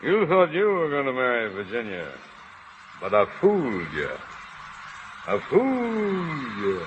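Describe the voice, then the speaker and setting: Groggy taunter, drawn-out delivery, 1930s radio play, clearly noisy recording. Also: male voice, medium pitch, huge pitch swings, highs cut off. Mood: woozy gloating. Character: groggy, gloating man drifting under anesthetic gas.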